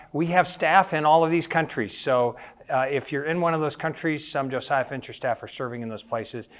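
Older American man, earnest speaker. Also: medium pitch. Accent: General American. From United States. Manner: earnest, conversational storytelling. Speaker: missionary speaker. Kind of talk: presentation to teachers. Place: room with an audience.

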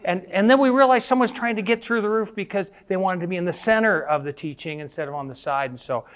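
A man doing a flustered, excitable woman. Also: sits high, fast, pitched above the performer's own voice, natural voice. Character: flustered woman teacher, chatty.

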